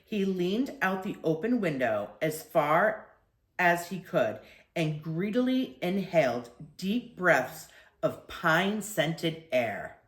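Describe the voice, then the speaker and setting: An older woman reading a children's book aloud as an expressive storyteller. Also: on the low side, slow pace. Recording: echoey room.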